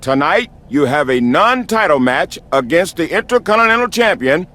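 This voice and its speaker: Animated wrestling show boss. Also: male voice, on the high side, normal speed. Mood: assured, emphatic. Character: brisk, promising male wrestling show boss. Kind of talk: video game cutscene acting.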